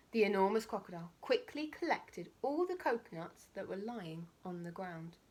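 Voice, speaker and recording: A woman reading a children's storybook aloud like a primary school teacher, with expressive, emphatic and dramatic phrasing. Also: mid-range voice, breathy edge.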